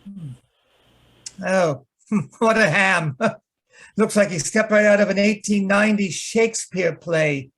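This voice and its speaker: A man's voice: high voice, takes it slowly, long pauses, fairly bright tone, heavily acted. A man playing a fussy, theatrical, peevish photographer in an old-time radio show, with an arch, genteel, hesitant manner. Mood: amused disdain. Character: fussy, theatrical, grumbling male photographer, affectionate but peevish. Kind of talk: old-time radio comedy-mystery acting.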